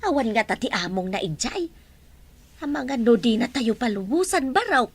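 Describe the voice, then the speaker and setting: An actress playing an expressive, motherly middle-aged village aunt in a Philippine radio drama. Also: fairly high pitch, moderate pace, slightly rough. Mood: chatty, concerned.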